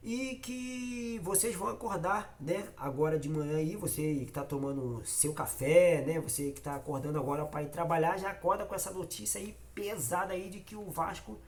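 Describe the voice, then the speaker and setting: A man's voice: a little high, normal speed, few pauses. A man with a Carioca accent who has a cold, his voice nasal, hosting a casual football fan vlog and talking in a repetitive, emphatic way straight to his viewers. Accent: Carioca Brazilian Portuguese. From Rio de Janeiro, Brazil. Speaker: football fan channel host.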